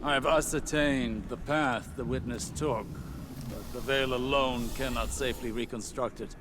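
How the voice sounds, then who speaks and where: A man playing a solemn, intense scholar in a video game, reasoning aloud in a measured, deliberate way. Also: mid-range voice, close to an everyday voice. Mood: grave. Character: cerebral, solemn male scholar, intense and absorbed. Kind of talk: dramatic video game exposition. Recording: noisy game ambience behind voice.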